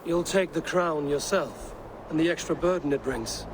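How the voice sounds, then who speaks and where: Relentless game Viking played by a man: solemn, measured, slightly high male register, clear outdoor noise. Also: natural voice. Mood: cold, solemn. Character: stern, relentless Viking warrior with measured gravity. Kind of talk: dramatic video game acting.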